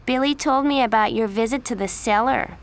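A woman voicing a catty teenage girl who spreads gossip, in a sweet, needling, high voice, in an audiobook reading. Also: a little fast, soft background music, natural voice. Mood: sly sweet.

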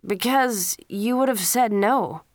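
A woman's voice: on the high side, slow pace, dry recording, pitched above the performer's own voice. A woman reading the lines of a subdued teenage boy answering his angry father. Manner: plain, subdued.

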